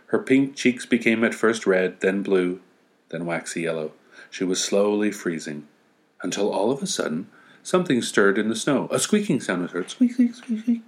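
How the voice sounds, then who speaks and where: A man reading a story as narration, with wry, playful asides. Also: on the low side. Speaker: story reader.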